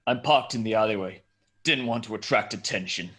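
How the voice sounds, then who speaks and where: A man's voice: lowish voice, a little fast, bright, forceful tone, slightly rough. Man playing Batman, a terse brooding vigilante. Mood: dry. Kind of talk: script table read.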